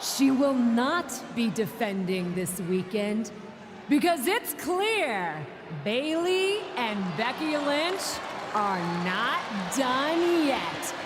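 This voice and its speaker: A woman's voice: sits high, expressive, exaggerated voice. A woman playing a bold general manager in a video game, making a formal, declamatory announcement to wrestling fans. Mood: dramatic. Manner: declamatory, deliberate. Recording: echoing arena PA with loud noise.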